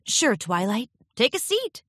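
Friendly young female pony played by a woman, storybook character. High, lilting, solicitous and composed, recorded dry. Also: big pitch swings, normal speed, sharp tone, dry recording, full character voice. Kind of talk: children's audiobook character voice.

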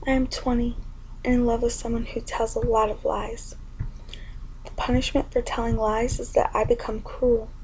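A young woman performing spoken word poetry in an intimate, often whispered delivery with a gravelly edge. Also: on the high side, little pitch movement, moderate pace, darkish tone, slight background noise, low-quality recording.

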